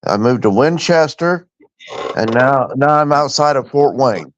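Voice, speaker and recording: A man's voice: medium pitch, lively intonation, unhurried, a little hiss or hum. Middle-aged Indiana man, dull lo-fi audio. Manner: plain, storytelling, reminiscing. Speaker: former truck driver. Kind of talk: casual chat. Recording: dull, dry, lo-fi stream audio.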